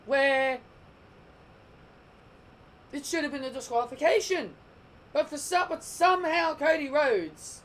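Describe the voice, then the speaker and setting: A man giving a casual, rambling wrestling review, often restarting his sentences, his voice croaking. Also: extremely high pitch, a little hiss or hum. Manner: rambling, restarts and corrects himself.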